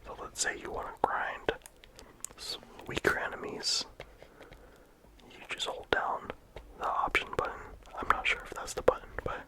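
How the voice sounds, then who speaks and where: This is a whispered ASMR game review, in a steady voice with hardly any sound behind it. The reviewer rambles casually and leans on "like" between thoughts. The breathy hush never lifts into full voice. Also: moderate pace, long pauses, low-quality recording. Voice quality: whispered throughout. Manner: casual rambling with many "like" fillers.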